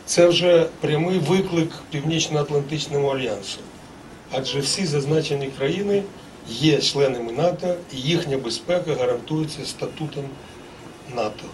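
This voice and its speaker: Panelist reading formal remarks: a man with a somewhat high voice and a measured, official delivery, caught from across the room. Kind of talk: formal prepared statement at a panel discussion. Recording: distant mic with faint room noise.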